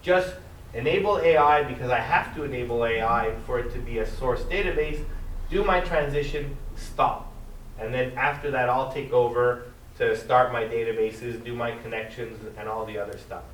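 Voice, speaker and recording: A man's voice: medium pitch, normal speed, fairly bright tone, a little hiss or hum. A man giving a technical conference talk, speaking emphatically and insistently. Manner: emphatic, advocating strongly. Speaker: conference presenter. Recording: echoey hall, mic from a distance.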